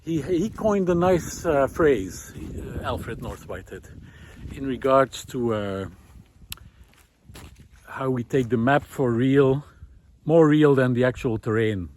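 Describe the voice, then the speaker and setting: A man giving an unscripted, reflective philosophical talk while walking, explaining ideas in a halting, thoughtful way with frequent pauses. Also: medium pitch, a little mellow. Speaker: host of a walking talk series. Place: outdoors, walking on a country road. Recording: outdoor recording with clear background noise.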